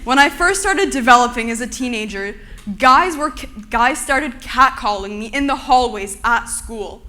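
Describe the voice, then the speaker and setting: A young woman from Turkey giving an earnest, measured prepared talk to an audience. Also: a little high, animated, pushed and bright. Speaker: speaker.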